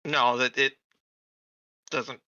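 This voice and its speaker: A man's voice: medium pitch, flat delivery, slow, sharp tone, dry recording, highs cut off. A man chatting casually as a player at a tabletop roleplaying game.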